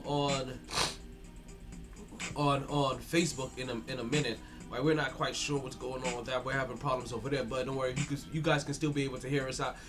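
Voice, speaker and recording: A man hosting a sports podcast in a hyped, slangy way, a bit stuffy-nosed from allergies and repeating his words. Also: on the high side. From South Florida. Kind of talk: casual sports talk.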